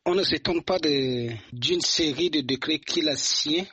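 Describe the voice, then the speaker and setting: Man from Chad, husky voice, dry dull sound, measured, hesitant fillers, radio commentary. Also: on the high side, bright, forceful tone, no room sound. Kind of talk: testimony on a radio program.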